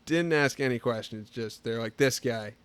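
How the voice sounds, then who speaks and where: A man in his twenties, a podcast guest, chatting casually and telling stories in a relaxed way, full of fillers. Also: medium pitch, moderate pace, smooth. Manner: relaxed storytelling, lots of filler "like". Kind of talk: casual chat.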